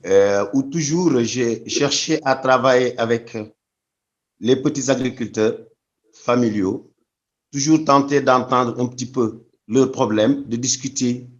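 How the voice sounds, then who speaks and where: A man from Senegal answers interview questions thoughtfully at a medium pitch, often restarting his phrases. The recording sounds dull and lo-fi. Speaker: guest.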